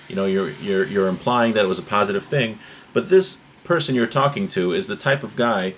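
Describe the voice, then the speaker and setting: A man giving an informal religious lesson, reading from a source text and explaining it conversationally with everyday examples. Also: medium pitch. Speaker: religious teacher giving a class.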